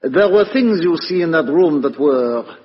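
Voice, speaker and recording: An actor playing a shrewd, courteous and methodical foreign detective in a 1950s radio play, speaking deliberately with dramatic rhetorical questions. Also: male voice, fairly high pitch, lively intonation. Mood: intrigued, methodical. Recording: muffled vintage radio broadcast, hall reverb.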